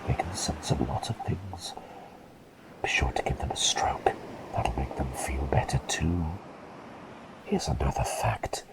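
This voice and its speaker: Man acting as eccentric plague doctor, breathy whisper, slow and gentle, quaintly polite, ASMR roleplay. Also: very deep voice, heavily acted, rough recording.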